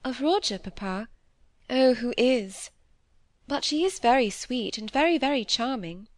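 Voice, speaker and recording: Earnest young daughter acted by a woman reader, sweet, loyal, lifted pitch, literary audiobook. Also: lively intonation, unhurried, no room sound, studio quality. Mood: fond, earnest. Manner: earnest, pleading.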